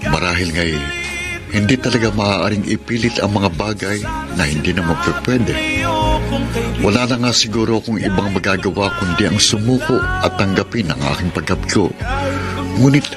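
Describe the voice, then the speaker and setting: A man's voice: lowish voice, normal speed, lightly acted. An actor with a Filipino accent playing a lovelorn, wistful man in a radio drama, earnest and reflective. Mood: resigned yet determined. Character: lovelorn, wistful suitor fighting on for love. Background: background music.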